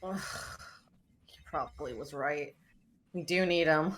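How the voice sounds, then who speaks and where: A woman's voice: low-pitched, a little slow, a little hiss or hum. A woman reading the part of an irritable, exasperated spaceship crew member in a script table read, complaining as she goes. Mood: grumbling.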